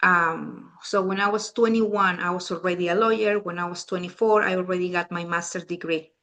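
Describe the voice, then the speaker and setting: A woman's voice: lowish voice, fairly even intonation, a little fast, a bit bright. Chatty woman with a Peruvian accent. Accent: Peruvian Spanish. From Peru. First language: Spanish. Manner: chatty, restarts sentences often. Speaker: family constellations facilitator. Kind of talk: casual talk to a small group.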